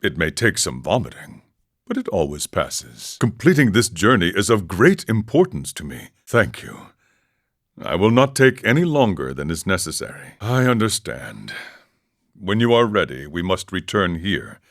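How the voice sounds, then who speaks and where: A man playing a serene, world-weary wandering monk in a video game, speaking in a measured, formal, philosophical manner. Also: sits low, big pitch swings, fairly bright tone, dry recording, clean studio sound, full character voice. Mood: calm, reassuring. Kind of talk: video game character dialogue.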